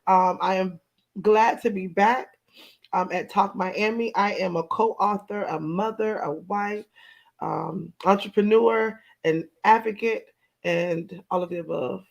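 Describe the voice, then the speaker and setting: A hoarse woman with a raspy voice, chatting playfully as a talk show panelist. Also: medium pitch, steady tone, slow, muffled recording. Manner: laughs often, playful. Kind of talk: casual panel chat.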